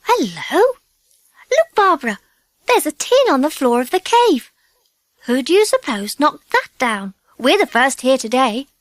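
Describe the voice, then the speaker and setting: A woman with a British accent voicing an excitable little schoolgirl in a children's storybook, full of prim exclamations. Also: high voice, unhurried, no room sound, voice pushed up. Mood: curious. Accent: British English.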